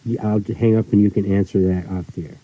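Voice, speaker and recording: A nervous man calling in and leaving a halting, rambling voicemail. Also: on the low side, steady tone. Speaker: caller. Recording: tinny phone-line voicemail.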